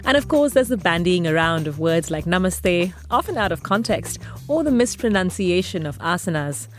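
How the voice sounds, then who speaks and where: Calm woman host narrating a podcast. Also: fairly low pitch, normal speed, soft background music. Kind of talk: scripted narration.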